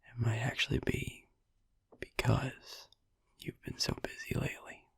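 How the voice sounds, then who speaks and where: A man playing a sleepy, gentle, reassuring vampire boyfriend in a whispered sleep-aid audio roleplay, rambling in a hesitant, breathy, husky murmur. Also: mid-range voice, wildly swooping pitch, dry recording, heavily acted. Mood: drowsy, rambling. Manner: rambling, hesitant, halting, drowsy.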